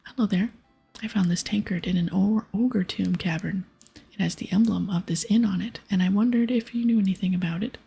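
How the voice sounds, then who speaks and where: A woman voicing an earnest, polite fantasy-game adventurer in a breathy whisper. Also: mid-range voice, a little fast, warm tone, soft background music, low-quality recording. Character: earnest adventurer investigating a crime.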